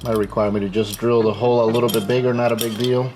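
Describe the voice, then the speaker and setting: A man's voice: mid-range voice, flat delivery, fast, noisy background. A man hosting a casual how-to video, thinking aloud informally as he works through an installation. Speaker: host of a car modification tutorial. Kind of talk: casual hands-on how-to narration while working.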